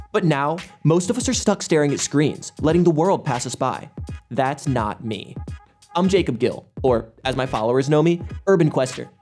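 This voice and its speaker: An actor playing a brash, cocky urban-exploring YouTuber, delivering showy, hyped video intros in an audio drama. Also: male voice, medium pitch, darkish tone, lightly acted. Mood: grandiose.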